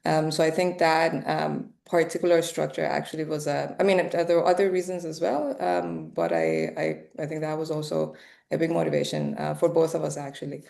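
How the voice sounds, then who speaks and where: A woman in her thirties speaking as a professor on an online alumni panel, thoughtful and pausing often. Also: low-pitched, vocal fry, darkish tone. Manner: thoughtful, many pauses. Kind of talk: casual panel talk. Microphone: video call.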